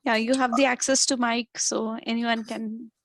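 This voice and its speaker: A woman's voice: fairly high pitch, level tone, normal speed, no room sound. Woman teacher on a dry video call. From India. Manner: hesitant, many fillers. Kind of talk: webinar discussion.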